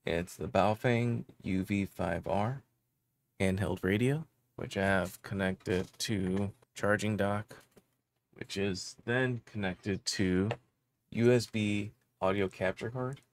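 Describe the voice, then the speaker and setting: A man's voice: on the low side, fairly even intonation, takes it slowly, a little hiss or hum. A man in his thirties giving a casual how-to explanation as host of a tech tutorial. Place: small indoor room with electronics gear.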